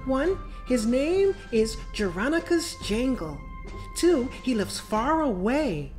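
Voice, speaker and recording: A man voicing an eager, excited young girl inventor in a storybook read-aloud. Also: extremely high pitch, takes it slowly, faint music behind, voice pushed up, exaggerated voice. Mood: proud wonder. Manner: excited, emphatic.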